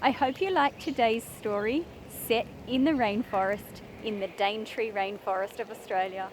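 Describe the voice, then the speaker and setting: A woman hosting a children's read-aloud, speaking in simple, deliberate phrases as if straight to young listeners. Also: mid-range voice, background noise. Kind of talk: slow picture-book read-aloud with friendly asides to young viewers.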